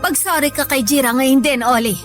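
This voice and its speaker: A woman's voice: high voice, fairly quick, soft background music, natural voice. A woman playing a long-suffering, sickly working mother in a Tagalog radio drama, speaking plaintively to her child. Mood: scolding, weary. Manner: pleading, plaintive.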